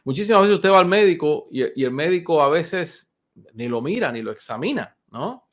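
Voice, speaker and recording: A man in his fifties, a doctor, giving an informal health talk to viewers, unhurried and conversational with many pauses and restarts. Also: a little high, expressive. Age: 50s.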